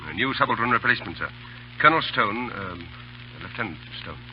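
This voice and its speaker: An actor in an old radio drama playing an urbane, lighthearted cavalry lieutenant with a playful, dryly mocking manner. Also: male voice, on the low side, animated, a little fast, close to an everyday voice. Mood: respectful. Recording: muffled old radio broadcast, faint noise.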